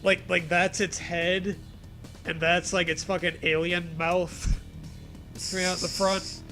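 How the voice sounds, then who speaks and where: A man's voice: high voice, slow, over music. A man hosting a dramatic reading of a story, mixed with crude, joking commentary. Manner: profane, jokey, animated reactions.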